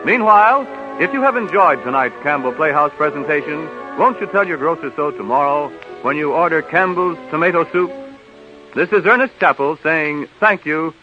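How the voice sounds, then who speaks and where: Old timey american radio announcer guy, bit high pitched, reading scripted copy, muffled 30s broadcast. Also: expressive, normal speed. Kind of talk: 1930s announcing, scripted credits and sponsor message. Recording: band-limited 1930s radio recording with music.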